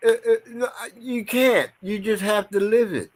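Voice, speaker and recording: A man's voice: sits high, fairly even intonation, moderate pace. Blunt, profane American man. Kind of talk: casual podcast banter.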